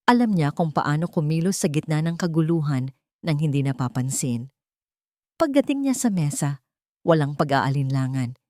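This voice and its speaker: A woman narrating a scripted story in a deliberate, suspenseful, dramatic way. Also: deep voice, warm tone, no echo, clean studio sound.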